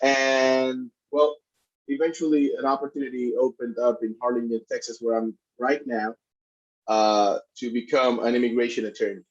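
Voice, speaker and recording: Middle-aged man, halting interview guest, mid pitch, dull recording. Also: little pitch movement. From Puerto Rico. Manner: halting, many restarts and fillers. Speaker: immigration attorney and guest. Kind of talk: conversational interview. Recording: dull lo-fi sound.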